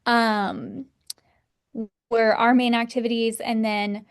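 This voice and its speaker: A woman in her thirties from Texas, a podcast guest telling her story in a relaxed, rambling way. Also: a little high, takes it slowly, smooth. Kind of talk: casual interview.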